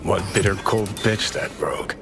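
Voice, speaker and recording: An actor playing a cynical, irreverent, rough-edged rebel in a video game, sardonic and crude. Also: male voice, low-pitched, moderate pace, over music. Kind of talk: video game cutscene acting.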